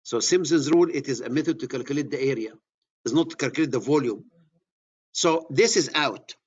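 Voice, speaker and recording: Egyptian-accented lecturer, husky voice. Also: male voice, mid-range voice, brisk, lo-fi sound. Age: middle-aged. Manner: repeats key words, restarts phrases. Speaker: surveying instructor. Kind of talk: classroom lecture.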